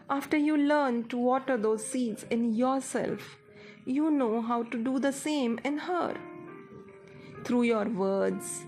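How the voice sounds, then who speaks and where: A woman narrating a guided meditation in a measured, instructive manner. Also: fairly high pitch, a little mellow. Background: music.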